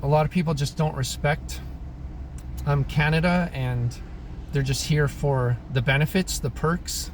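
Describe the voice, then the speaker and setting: A man in his thirties, born in Croatia, airs his views in a loose, unscripted monologue. He stops to clear his throat and restarts his sentences often. His voice sits slightly high for a man, with a faint hum of vehicles in the background. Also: steady tone, measured pace. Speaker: vlogger. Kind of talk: casual opinion monologue. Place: in Alberta, Canada.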